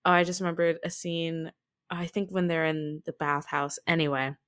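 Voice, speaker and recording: A woman's voice: low-pitched, little pitch movement, normal speed, a bit bright. A woman guesting on a podcast, giving wry, emphatic commentary in short punchy remarks during a casual chat.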